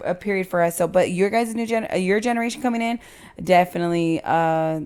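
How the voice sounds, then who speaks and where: A woman in her thirties, a podcast guest telling stories in casual chat with emphatic, rapid delivery. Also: on the low side, darkish tone, smooth.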